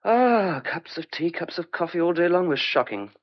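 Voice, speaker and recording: A man's voice: on the high side, big pitch swings, normal speed. Male lodger with something to hide, clipped and hesitant, swooping intonation, muffled radio play recording actor in role. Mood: restless, apologetic. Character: restless, guarded male lodger hiding a secret. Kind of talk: old-fashioned radio play acting. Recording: dull lo-fi radio drama recording.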